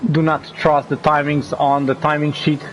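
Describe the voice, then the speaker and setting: Guy with a cold calling a sim race, a bit high, faint hiss behind. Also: moderate pace, rough recording. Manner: excited, rising pitch at action. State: has a cold. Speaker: race commentator. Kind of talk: sim racing commentary.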